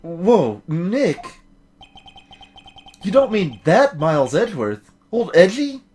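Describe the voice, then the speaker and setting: A woman plays a goofy young slacker guy in a game, with very lively pitch swings. He is boastful and slangy, stumbles over his words when flustered, and takes his time getting lines out. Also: slow pace. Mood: surprised, chatty. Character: goofy, excitable young man, slacker hot dog vendor. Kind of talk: voiced visual novel game dialogue.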